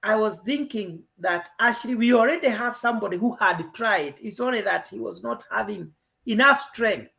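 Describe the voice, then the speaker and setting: A woman's voice: mid-range voice, normal speed, creaky, fairly bright tone. A Kenyan woman with a Kenyan accent in an earnest political discussion, mixing English and Swahili. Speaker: panelist.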